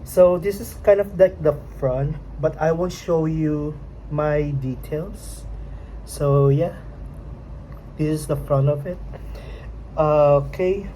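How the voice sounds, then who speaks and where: A woman's voice: low voice, takes it slowly, long pauses, dark tone, a little hiss or hum, low-quality recording. A young woman with a Filipino accent, a native Filipino speaker, vlogging casually in English and hesitating often as she searches for words. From Philippines. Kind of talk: casual unboxing vlog. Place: home at night.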